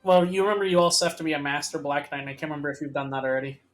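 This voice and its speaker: A man with a fairly high voice talks casually over a video game, tossing in joking remarks as a co-commentator. Soft music plays behind him. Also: racing. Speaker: co-player and commentator on a game series.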